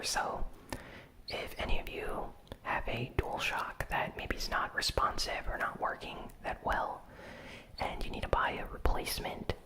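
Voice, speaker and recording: A person whispering a casual ASMR gaming news recap in a breathy, unvoiced, soft-spoken and informal way. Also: low-quality recording. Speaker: host of a gaming news show.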